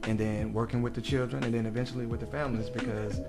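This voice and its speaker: A man speaking as a guest on a radio interview. He leads a mentoring group and explains its goals in a measured way, with many fillers and restarts. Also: medium pitch, fairly even intonation, music in the background.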